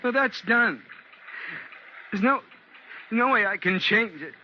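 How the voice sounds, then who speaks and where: An actor playing a haunted, fearful fugitive in an old-time radio drama, speaking in a hesitant, troubled, confessional way. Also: male voice, high-pitched, animated, takes it slowly, a little hiss or hum. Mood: pleading, uneasy. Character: haunted, fearful fugitive man hiding among sailors. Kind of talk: old-time radio drama acting with first-person narration. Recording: muffled vintage radio recording, loud music.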